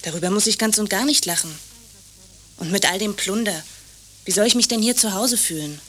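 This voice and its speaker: A woman's voice: medium pitch, fairly quick, a bit bright, lightly acted. An actress playing an aggrieved, jealous young woman in a radio play, speaking in a blunt, reproachful way. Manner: pointed, reproachful. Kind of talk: radio play dialogue acting.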